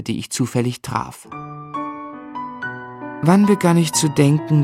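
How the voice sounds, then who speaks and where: A devoted, reflective novelist looking back in a literary radio drama. His delivery is earnest and measured, at a medium pitch. Music plays clearly beneath the voice. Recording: studio radio play with music underneath.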